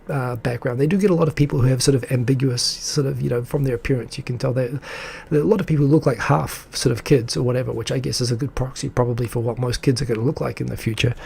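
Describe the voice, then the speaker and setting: Male live-stream host, dark gravelly tone, chatty and enthusiastic, loose with fillers. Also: mid-range voice. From English-speaking country. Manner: rambling and enthusiastic, lots of fillers; reads out and answers viewer comments. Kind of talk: casual live-stream chat.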